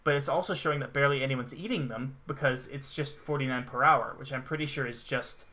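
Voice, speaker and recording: A man's voice: mid-range voice, fast, pushed and bright. A young adult man giving casual gameplay commentary as co-host of a gaming show.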